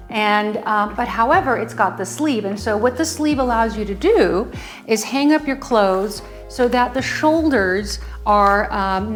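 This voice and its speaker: A woman talks excitedly through her favorite home finds, at a middling pitch, full of ums and little gushes of praise. Faint music plays softly behind her. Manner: enthusiastic, gushing, many ums. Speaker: home design vlogger. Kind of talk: casual product demo to camera. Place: her home, closet and kitchen.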